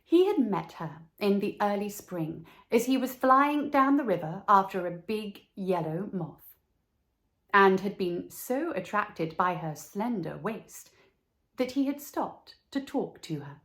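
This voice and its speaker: Slow, measured female storyteller. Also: medium pitch, animated, fairly bright tone. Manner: measured, deliberate phrasing. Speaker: storybook reader. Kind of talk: scripted children's story narration.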